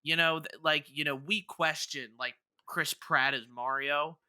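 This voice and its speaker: A man talks casually as a podcast co-host, in a somewhat high, very bright voice with a dry, close sound. He thinks aloud, often hesitating and repeating his opening words. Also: fairly even intonation, unhurried, clear, resonant tone, dry recording. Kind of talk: casual chat, debating video game movies.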